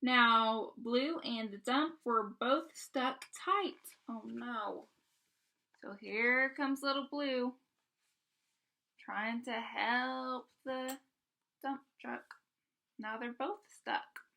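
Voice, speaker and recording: Woman storyteller, bright tone, slow pace, expressive picture-book reading, explains to small children. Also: on the high side. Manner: stops to ask simple questions and explain words. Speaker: read-aloud storyteller.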